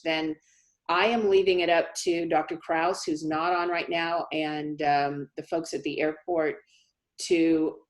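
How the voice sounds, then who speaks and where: A woman speaking as a college dean, answering questions in a measured, reassuring, explanatory way on a video call. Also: sits low. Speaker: college dean hosting a town hall. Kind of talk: informal question-and-answer briefing. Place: remote online meeting.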